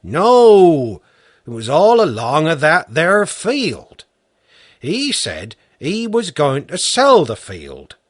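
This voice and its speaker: A man's voice: on the high side, wildly swooping pitch, takes it slowly, no room sound, studio quality, pitched above the performer's own voice, full character voice. A man voicing a worn-out peasant farmer who stammers and mumbles in plain rustic speech. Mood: bewildered.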